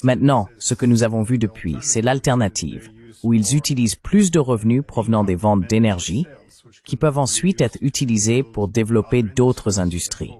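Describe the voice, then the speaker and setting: A man's voice: medium pitch, animated, normal speed, dark tone. A man reading a formal translated voice-over of an interview host setting out questions.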